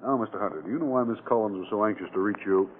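An actor playing a direct, persistent state auditor in a 1940s radio crime drama, matter-of-fact and probing. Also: male voice, fairly low pitch, rapid-fire, slight background noise, close to an everyday voice. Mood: firm, probing. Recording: tinny, band-limited old radio broadcast.

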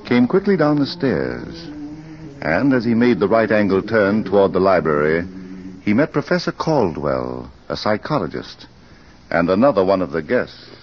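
A man playing a mysterious crime-club librarian, narrating in a theatrical, measured, confiding 1940s radio mystery style. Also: low-pitched. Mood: suspenseful storytelling. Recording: muffled, hissy old radio recording.

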